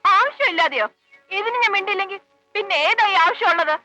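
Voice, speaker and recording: An actress playing a jealous young wife who demands answers and hurls accusations in sharp, insistent lines in a 1980s film. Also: female voice, very high-pitched, a little fast, rough recording. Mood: furious. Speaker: suspicious wife. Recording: dry 1980s film soundtrack, faint music.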